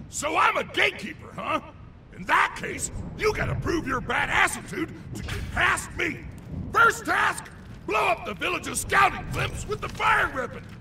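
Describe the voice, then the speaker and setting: A man voicing a bombastic macho video game character, bellowing with gravelly, aggressive enthusiasm. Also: extremely high pitch, lively intonation, slow pace, sharp tone, raised voice, recorded from a distance, a little hiss or hum, full character voice. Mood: roaring excitement.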